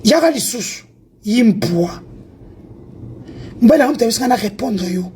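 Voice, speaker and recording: A woman's voice: on the high side, lively intonation, slow pace, warm tone, a little hiss or hum. A woman from Congo delivering a heated, confrontational rant, taunting and defiant as she moves between two languages. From Congo (DRC). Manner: confrontational, taunting, mixes French and Lingala.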